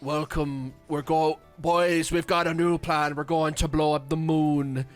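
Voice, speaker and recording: A man's voice: on the high side, flat delivery, measured pace, clean tone, soft background music, pitched above the performer's own voice, lightly acted. A man voicing a blustering Irish militant plotter in a jokey, put-on Irish accent. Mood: grandly plotting.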